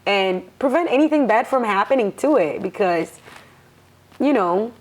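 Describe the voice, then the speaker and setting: A woman's voice: medium pitch, animated, moderate pace, a little mellow. An educator woman talking as a podcast guest, earnest and encouraging in casual conversation. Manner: earnest, encouraging, laughs often. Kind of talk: casual interview chat.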